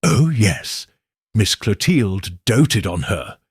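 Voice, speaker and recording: A man's voice: lowish voice, very animated, slow, slightly rough, dry recording, clean studio sound, heavily acted. Man as folksy, confiding old housekeeper woman. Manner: folksy, confiding, dialect phrasing. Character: stout grey-haired woman housekeeper, loyal, sorrowful, confiding.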